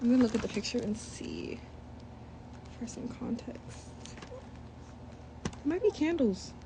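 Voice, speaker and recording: Lively woman reading a picture book. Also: a little high, measured pace, background noise. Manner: reads with lively asides and commentary. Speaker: storybook reader. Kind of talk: children's picture book read-aloud.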